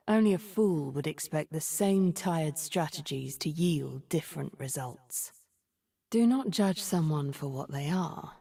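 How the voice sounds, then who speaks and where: A cold, cunning sorceress from a video game. Her low voice is breathy and dark, often sinking near a whisper. She speaks in measured, haughty, theatrical phrases, like someone who is always plotting revenge. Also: exaggerated voice. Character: cunning, imperious deceiver sorceress, cold and vengeful. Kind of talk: video game character voice lines.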